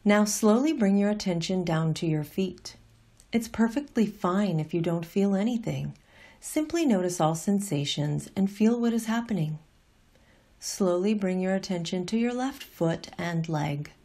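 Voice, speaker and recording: A woman reading a scripted guided meditation as an instructor, in a gentle, calm and unhurried manner. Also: mid-range voice.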